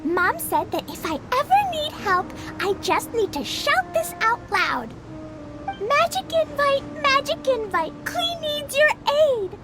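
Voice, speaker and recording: A woman's voice: very high voice, very animated, takes it slowly, full character voice. A woman voicing an innocent, bubbly little adventurer girl in a video game cutscene, childlike and earnest. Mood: eager, excited. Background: background music.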